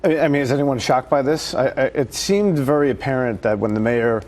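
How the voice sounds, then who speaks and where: A man's voice: mid-range voice, fairly quick, a little mellow. A man giving conversational, critical commentary as a guest in a news interview. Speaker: guest commentator, retired detective.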